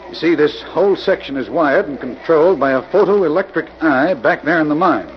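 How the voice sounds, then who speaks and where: An actor playing a captured crook reluctantly explaining himself, in 1940s radio drama style. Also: male voice, on the high side, fairly quick, close to an everyday voice. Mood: compliant, explanatory. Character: Evans, captured male crook reluctantly explaining his secret mechanism. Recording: muffled, noisy old radio broadcast.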